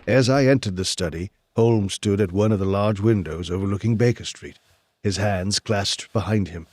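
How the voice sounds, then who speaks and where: Casting: man for genial Victorian gentleman physician, fairly low register, courteous and mildly flustered, radio-play delivery. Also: normal speed, lightly acted. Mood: narrating. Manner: polite, affable, hesitant. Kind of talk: audio drama acting and narration.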